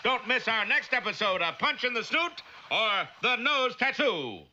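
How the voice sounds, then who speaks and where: A man's voice: extremely high pitch, very animated, measured pace, exaggerated voice. A man playing an urgent, mock-dramatic narrator of a classic cartoon serial. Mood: suspenseful. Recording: noisy, dull vintage cartoon soundtrack.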